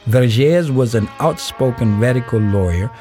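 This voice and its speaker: A man reading scripted narration for an audio play in a measured storytelling way. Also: mid-range voice, lively intonation, warm tone. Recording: music behind the voice. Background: music.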